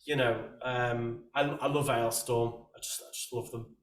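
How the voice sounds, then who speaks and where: Soft-voiced chatty male host, echoey. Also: medium pitch, fairly even intonation, a little slow, distant mic. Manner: chatty, rambling, many restarts. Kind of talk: casual chat.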